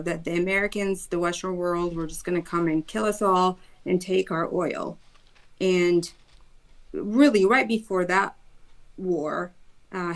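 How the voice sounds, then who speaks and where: Low-voiced woman from Iraq telling her story. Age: 30s. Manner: earnest, animated storytelling with quick bursts. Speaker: guest, school board candidate. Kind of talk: personal storytelling in interview.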